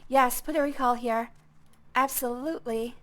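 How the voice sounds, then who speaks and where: A woman's voice: fairly high pitch, fairly even intonation, moderate pace. A young woman who streams games, chatting casually and thinking aloud in a self-deprecating way as she plays. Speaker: gaming streamer. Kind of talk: casual gameplay commentary.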